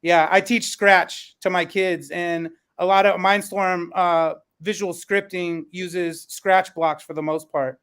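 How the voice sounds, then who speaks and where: A man's voice: high-pitched, steady tone, takes it slowly, hardly any pauses, fairly bright tone, clear, resonant tone. A man moderating a panel discussion in a conversational way, as its host. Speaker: panel host and elementary school teacher.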